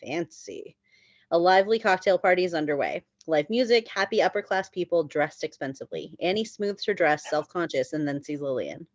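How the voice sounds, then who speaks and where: A woman's voice: on the low side, animated, fairly quick, dry recording, highs cut off. A woman narrating screenplay stage directions at a table read.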